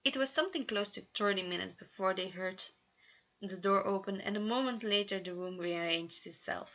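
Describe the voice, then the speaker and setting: Bright-voiced woman reading a story aloud. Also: mid-range voice, normal speed, muffled recording. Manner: expressive, with occasional stumbles over words. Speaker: fan fiction narrator.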